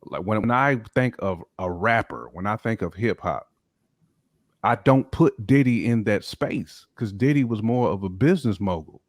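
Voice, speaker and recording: Man, lower pitch, conversational debate, emphatic repetition, dry close sound. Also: expressive, moderate pace, dry recording. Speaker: panelist on a hip hop discussion show. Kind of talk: casual opinionated debate.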